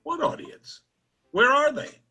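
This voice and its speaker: A man's voice: very high voice, animated, a little slow, pushed and bright, faint music behind, heavily acted. A man playing a folksy, bewildered nineteenth-century general store owner in a radio drama, with plain-spoken country talk. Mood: puzzled. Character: old-time West Virginia general store proprietor from the 1800s, folksy, bewildered. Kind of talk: old-time radio drama acting.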